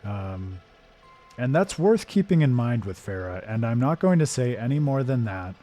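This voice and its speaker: A hoarse man hosting a live game stream, talking through his thoughts in a thoughtful, digressive way with frequent restarts. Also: mid-range voice, sing-song, normal speed, mellow, soft background music. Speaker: streamer and host.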